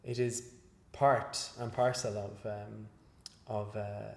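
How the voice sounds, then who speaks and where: A male researcher giving an interview after a lecture, speaking in a measured, hesitant way with many fillers and restarts. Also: fairly low pitch, little pitch movement, gravelly. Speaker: guest researcher. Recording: echoey room.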